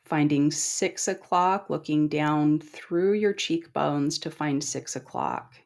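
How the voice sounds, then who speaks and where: A middle-aged woman leading a guided meditation in a calm, gentle, instructing manner with long pauses. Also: sits low, creaky. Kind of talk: guided body scan meditation.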